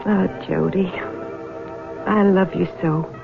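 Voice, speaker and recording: An actress playing a devoted young farm wife with a guarded, fierce streak in a 1970s radio drama. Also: female voice, lowish voice, steady tone, takes it slowly, gravelly. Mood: tender, earnest. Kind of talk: 1970s radio drama acting and first-person narration. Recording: tinny band-limited 1970s radio broadcast, music.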